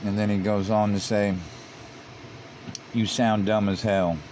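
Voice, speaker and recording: A man's voice: lowish voice, flat delivery, measured pace, a little mellow, slight background noise. A man commenting on online comments, reading them out and repeating himself as he grows heated and emphatic. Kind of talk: casual commentary.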